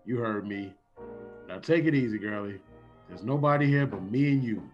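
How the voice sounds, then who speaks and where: A man's voice: lowish voice, moderate pace, close to an everyday voice. A man acts out a slangy, streetwise tough guy from an old radio drama. The recording is a bit dull, with music playing underneath. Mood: menacing. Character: tough, suspicious streetwise man with a soft streak.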